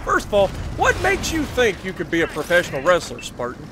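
An American man in his thirties telling a casual, jokey story in an animated, exclamatory way. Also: high voice, brisk, over music. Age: 30s. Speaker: gaming video creator. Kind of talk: casual storytelling over gameplay.

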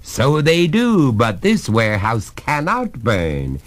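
A man voicing a courteous but unyielding gentleman, master of a haunted hall in a storybook, polite, firm and coldly taunting. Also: mid-range voice, wildly swooping pitch, slow, voice pushed up. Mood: gloating.

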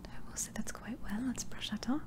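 A woman softly whispering an ASMR roleplay as a barber, with a breathy, gentle, unhurried and reassuring delivery. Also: a little high. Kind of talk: soft-spoken ASMR roleplay.

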